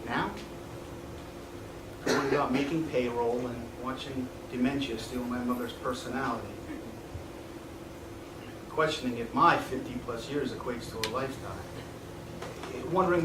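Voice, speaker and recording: A man's voice: medium pitch, natural voice. Distant, echoey middle-aged man on stage, acted by a man. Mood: weary, reflective. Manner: deliberate, wordy, reflective. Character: weary, nostalgic man in his fifties haunted by regret. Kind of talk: theatrical stage monologue and dialogue. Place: small indoor theatre stage. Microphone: far camera. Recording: echoey theatre stage, distant noisy camera mic.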